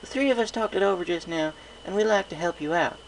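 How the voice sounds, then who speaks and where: Guy doing a high eager little pony girl, folksy n earnest, story reading, bit of hiss. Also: fast, gravelly, rough recording. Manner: folksy, emphatic, childlike. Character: eager, earnest young filly pony with big ideas.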